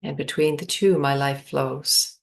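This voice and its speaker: A woman leading a guided meditation, speaking calmly in spacious phrases with long pauses. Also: low-pitched, vocal fry.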